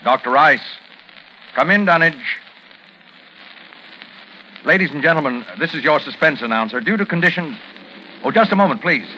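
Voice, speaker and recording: Old time radio suspense announcer, formal, highish animated voice, muffled w loud music. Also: male voice, normal speed, long pauses, a little hiss or hum. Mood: formal, concerned.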